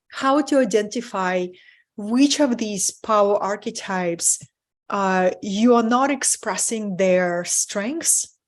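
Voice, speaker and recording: Russian-accented woman leading a webinar. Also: medium pitch. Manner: warm, earnest, often asks "right?" to the audience. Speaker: spiritual business mentor. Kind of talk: live webinar presentation.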